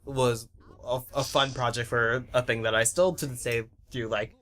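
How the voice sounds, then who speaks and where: A man's voice: mid-range voice, little pitch movement, moderate pace, a bit bright. A young man chatting casually on a commentary track as a series creator, often laughing through his words.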